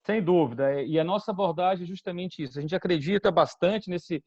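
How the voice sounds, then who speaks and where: A Bahian man in his forties answers interview questions in a measured, deliberate way, often restarting his phrases. His voice sits a little high for a man, and the recording is dry and dull, with little room sound. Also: darkish tone, no echo. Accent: Bahian Brazilian Portuguese. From Salvador, Bahia, Brazil. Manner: measured, with frequent restarts and fillers. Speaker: guest, company executive.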